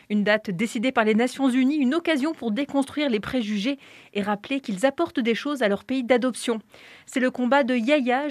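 A woman with a fairly high voice presents local radio news and interviews guests. She reads the items in a clear, steady way, then asks questions with plenty of pauses and fillers. The sound is dry and close, with no room around it. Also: dry recording. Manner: hesitant with many fillers when asking questions. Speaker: radio host.

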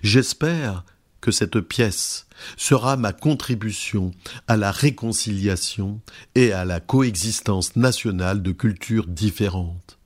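A man hosting a radio program, introducing guests and reading their words in a slow, measured way with occasional hesitations. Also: fairly low pitch. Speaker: radio host. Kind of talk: radio presentation, reading translated testimonies.

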